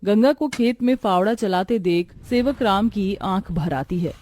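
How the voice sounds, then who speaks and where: A woman's voice: mid-range voice, unhurried, a little mellow. A woman narrator reading a scripted moral story for a cartoon.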